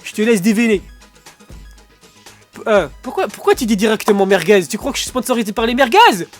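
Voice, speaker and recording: Guy with a blocked nose doing a jokey vlog, highish voice, faint music behind. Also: animated, a little fast. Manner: joking, rambling, acts out dialogues. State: stuffy nose, sore throat. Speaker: vlogger. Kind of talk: comic vlog monologue. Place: at home under a duvet.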